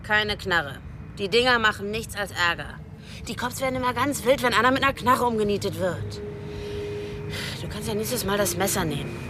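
A woman's voice: mid-range voice, expressive, normal speed, background noise, close to an everyday voice. A woman playing a brash, short-tempered prostitute turned killer in a radio drama, talking in coarse, bossy slang. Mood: bossy, eager. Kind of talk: German radio drama acting.

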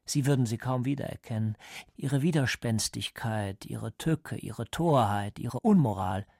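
An actor playing a coldly calm party interrogator in a radio drama, measured and didactic, paternal yet menacing, with a creaky voice. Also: male voice, mid-range voice, no room sound, studio quality. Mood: cold, certain.

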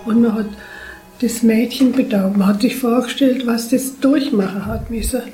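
A woman's voice: fairly high pitch, moderate pace, dark tone. A woman giving a spoken recollection as a local interviewee. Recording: roomy echo, music behind.

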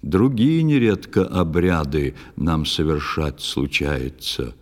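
A man's voice: a bass voice, slow pace, in a large hall, full character voice. A man voicing a grave, foreboding hermit priest in a storybook audio play, weighty and preacherly. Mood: solemn warning.

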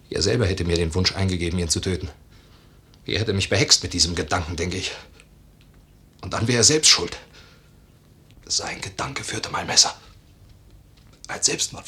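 An actor in a German radio play playing a brooding, guilt-ridden, drinking husband, halting and repeating his words with bitter irony. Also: low voice, bright, forceful tone, slightly rough, full character voice.

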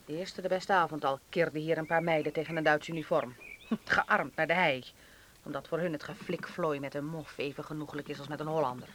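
A man's voice: a little high, fairly even intonation, a little fast, fairly bright tone, a little hiss or hum, close to an everyday voice. A man acting a sardonic, principled patriot in a Dutch wartime radio drama, with a biting and indignant way of talking. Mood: bitter sarcasm.

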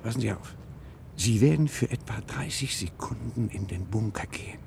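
An actor playing a determined, persuasive professor held as a patient, speaking earnestly in a radio drama. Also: male voice, on the low side, normal speed, vocal fry, a little mellow, a little hiss or hum. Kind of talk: German radio play acting.

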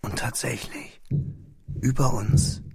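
A man acting as a measured, reflective narrator recalling his boyhood in a literary radio drama, with a creaky voice. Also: mid-range voice, fairly even intonation, darkish tone, no echo, whispered, exaggerated voice. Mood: quietly absorbed.

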